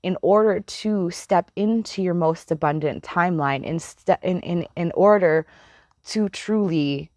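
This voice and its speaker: A woman giving a casual spiritual card reading, chatty and meandering, with a slightly hoarse voice. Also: lowish voice, a little slow, darkish tone, no echo, clean studio sound. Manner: chatty, meandering, laughs often, swears casually. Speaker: tarot reader.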